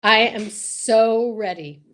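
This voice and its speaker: A woman's voice: a little high, slow pace, a bit bright. A woman hosting a comedy show, chatting casually and directing her co-performer.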